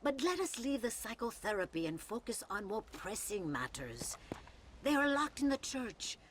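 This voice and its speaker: A woman playing a wry older Mother Superior in a video game, with a Mexican accent and a measured, ironic delivery. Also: fairly high pitch, big pitch swings, slight background noise. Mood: wry, concerned. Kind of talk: dramatic video game cutscene acting.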